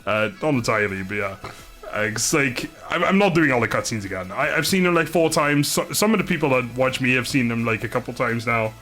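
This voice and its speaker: A man from the Netherlands chats loosely on a livestream at a middle pitch, with music playing behind him. He sounds low on energy and laid-back, rambling from one thought to the next. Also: animated, brisk, pushed and bright. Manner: rambling, laid-back, swears mildly, often chuckles. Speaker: live streamer. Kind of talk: casual livestream chat with viewers.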